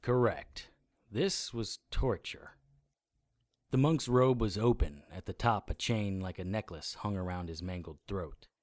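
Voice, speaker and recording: A man playing a supernatural detective who narrates his grim adventure in measured, hardboiled audio drama style. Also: fairly low pitch, expressive, a little mellow, dry recording, clean studio sound. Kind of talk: first-person scripted audio drama narration.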